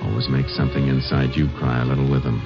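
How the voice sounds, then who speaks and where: An actor playing a steady, thoughtful Texas Ranger investigator, terse and matter-of-fact, in an old-time radio drama. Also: male voice, very deep voice, fast, music in the background. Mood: somber. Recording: muffled vintage radio recording.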